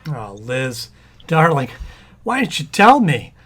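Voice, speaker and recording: A man's voice: a little high, sing-song, takes it slowly, fairly bright tone, slightly rough, faint music behind, heavily acted. A man playing a flustered, stammering, eager expectant father in an old-time radio comedy. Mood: excited. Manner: stammering, repeats words when flustered.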